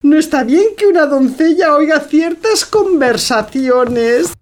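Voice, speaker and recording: High, swooping, gushing bride's mother. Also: lively intonation, takes it slowly, a little mellow. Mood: gushing, affected. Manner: gushing, affected, exclamatory. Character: effusive, scheming mother of the bride, gushing and affected. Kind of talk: theatrical farce audio drama.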